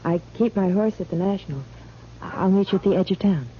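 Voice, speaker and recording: A woman's voice: mid-range voice, quick pace, close to an everyday voice. An actress playing a nervous, evasive young frontier woman, hesitant and guarded, in 1950s radio drama style. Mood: furtive. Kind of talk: 1950s radio western acting. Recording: muffled old radio recording, noisy.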